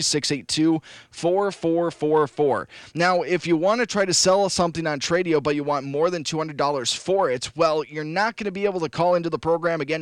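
A man hosting a local radio call-in show, his voice hoarse and raspy from losing it, upbeat and repeating phone numbers slowly. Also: on the high side, a bit bright, dry recording, studio quality. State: hoarse, lost voice. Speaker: radio host. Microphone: studio broadcast mic.

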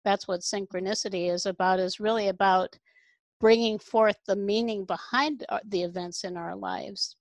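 A woman hosting an interview, explaining ideas in a reflective, measured way. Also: mid-range voice, steady tone, no room sound, rough recording. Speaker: host.

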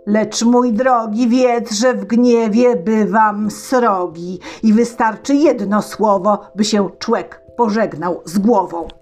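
A woman voicing a wrathful bearded old tsar, shouting and threatening in a raised voice. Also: mid-range voice, slow pace, few pauses, soft background music, voice pushed up.

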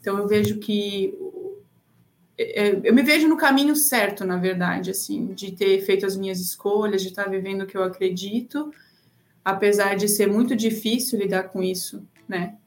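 A woman's voice: medium pitch, steady tone, normal speed. A woman speaking as an interview guest, answering in an earnest, reflective way. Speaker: guest, traveler.

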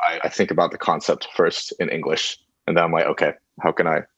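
A man who grew up in China, chatting casually as a guest, talking in a relaxed, rambling way with lots of fillers. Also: low voice, fast, husky, dry recording. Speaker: guest musician. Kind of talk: casual conversation.

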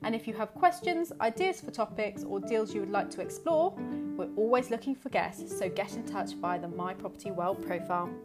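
A woman reads a scripted show introduction in a plain, mid-pitched voice. Clear plucked guitar music plays behind her. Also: lively intonation, brisk. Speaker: show announcer.

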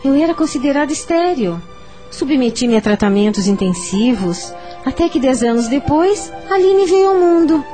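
Worried middle-aged mother, high voice, emotional, lamenting, radio drama, soft music behind. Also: animated, moderate pace. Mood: worried, weary. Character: anxious, long-suffering middle-aged mother of a sickly daughter.

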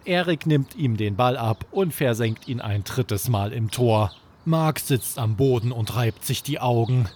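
A man narrating a scripted children's bedtime story as podcast host, with expressive, dramatic storytelling. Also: medium pitch, unhurried, slight background noise.

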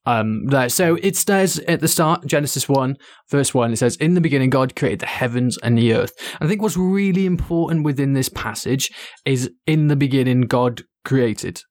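A man's voice: mid-range voice, animated, moderate pace, hardly any pauses, a little mellow, dry recording, clean studio sound. Welsh man earnestly explaining scripture. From Wales. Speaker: podcast co-host. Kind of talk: casual teaching chat.

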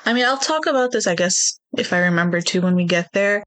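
A woman's voice: on the low side, fast talker, fairly bright tone, no echo. A woman co-hosting a podcast, casually recapping a TV show with lots of ums and hedges. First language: not Korean. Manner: recaps plot in a chatty, hedging way with many ums. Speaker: podcast co-host.

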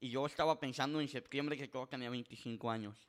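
Guy hosting a podcast, lots of "este", flips to english mid sentence, medium pitch. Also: steady tone, quick pace. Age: 20s. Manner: rambling storyteller, many filler words, switches between Spanish and English. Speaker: podcast host. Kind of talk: casual bilingual chat.

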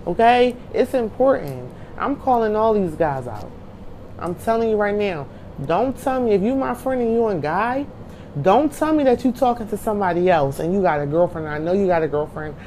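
Mellow, emphatic woman in her thirties. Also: medium pitch, animated, normal speed, a little hiss or hum. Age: 30s. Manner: emphatic, preachy, repeats lines. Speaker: podcast co-host. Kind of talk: casual chat.

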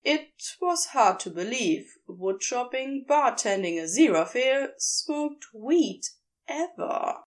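A woman reading scripted fan fiction as narrator, in an expressive, measured storytelling manner. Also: fairly high pitch. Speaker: narrator and reader.

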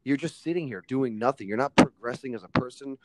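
A man's voice: mid-range voice, fast talker, very noisy. A young man in casual podcast conversation, talking emphatically with plenty of fillers and casual swearing. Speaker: podcast guest. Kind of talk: casual chat.

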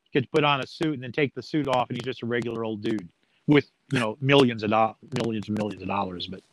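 A man's voice: medium pitch, fast, rough recording. A man talking casually as an interview guest, folksy and plain-spoken, with a joking streak. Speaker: sports play-by-play announcer as interview guest.

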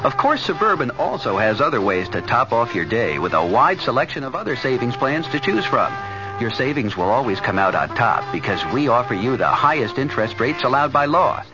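A man's voice: medium pitch, very animated, brisk. A man reading a scripted local radio advertisement in a 1970s announcer style. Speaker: commercial announcer. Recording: dull 1970s radio recording, music behind. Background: music.

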